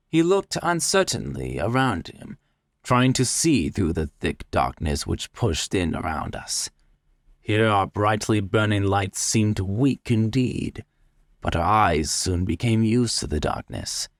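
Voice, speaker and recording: A man narrating a literary tale in the first person, deliberate, theatrical and brooding. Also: lowish voice, big pitch swings, no echo, clean studio sound. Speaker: story narrator.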